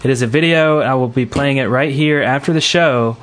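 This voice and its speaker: A man hosting a podcast in a casual, halting way with frequent fillers. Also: medium pitch. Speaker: podcast host.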